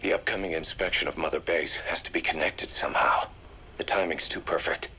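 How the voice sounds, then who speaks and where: A man playing a grave, calculating intelligence briefer for mercenaries, measured and serious, in a video game audio drama. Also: sits low, fairly even intonation, bright, forceful tone, slight background noise.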